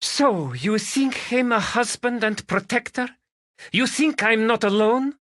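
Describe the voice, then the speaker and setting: A man's voice: very high-pitched, expressive, unhurried, fairly bright tone, no echo, clean studio sound, voice pushed up, exaggerated voice. A man voicing a fiery Spanish noblewoman. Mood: icy. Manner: emotional, clipped, slightly broken English. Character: proud fiery Spanish noblewoman, young adult. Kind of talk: audiobook character voice.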